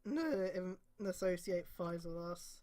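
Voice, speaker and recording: A woman's voice: lowish voice, animated, a little slow, dark tone. A woman streamer reading fan fiction aloud in a mocking, theatrical way, with exasperated, sweary asides. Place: indoors on a live stream.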